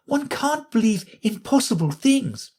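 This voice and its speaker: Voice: medium pitch, sing-song, unhurried, darkish tone, husky, pitched above the performer's own voice. A reader voicing a polite, earnest young girl of seven for a storybook. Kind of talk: storybook reading.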